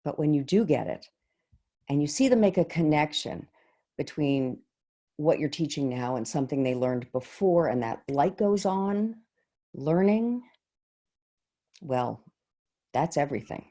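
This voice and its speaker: Low-key, mellow woman acting a devoted teacher. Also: lively intonation, exaggerated voice. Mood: earnest. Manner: reflective, halting, deliberate pauses. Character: shaken, devoted middle school teacher, recounting earnestly. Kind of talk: staged monologue reading. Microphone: video call.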